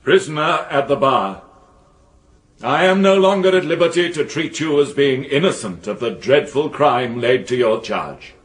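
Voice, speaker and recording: An actor playing a stern Victorian judge in a radio drama, grave and pompous, with weighty pauses. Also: male voice, mid-range voice, expressive, lightly acted. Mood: solemn. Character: stern, solemn Victorian judge passing sentence.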